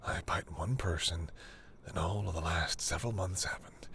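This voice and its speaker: A man's voice: deep voice, moderate pace, a bit bright, slight background noise. A man playing a proud but secretly tender vampire lord in an intimate, theatrical audio roleplay. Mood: brooding, musing. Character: proud male vampire lord, flustered, secretly tender toward human lover.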